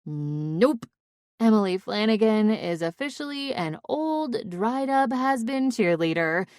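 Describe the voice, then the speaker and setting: A woman's voice: a little high, sing-song, takes it slowly, darkish tone, no room sound. A woman performs a tipsy young ex-cheerleader in an audiobook. She is brash, sweary and dramatic, rambling into a phone in a giggly way. The sound is close and dry. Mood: drunk self-pitying.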